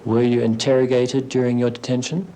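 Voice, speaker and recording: A man's voice: medium pitch, little pitch movement, fairly quick, warm tone, slight background noise, poor audio. A man working as a reporter and narrator, speaking formally in a documentary style.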